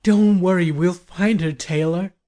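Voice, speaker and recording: A man's voice: high-pitched, a little slow, dark tone, voice pushed up. A man voicing frightened young women on the run in a horror story, anxious and pleading. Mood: worried.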